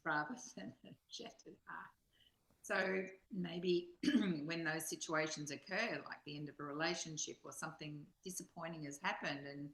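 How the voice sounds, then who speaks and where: A woman reflecting thoughtfully in a spiritual discussion, haltingly searching for images. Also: on the low side, a bit bright. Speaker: study partner. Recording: roomy, echoing sound.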